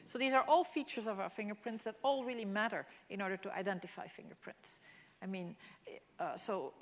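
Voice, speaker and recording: A woman's voice: medium pitch, fairly quick, slight background noise. A woman whose first language is Dutch, giving a public mathematics lecture with slides and explaining things with frequent restarts and fillers. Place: lecture hall. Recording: long hall reverb.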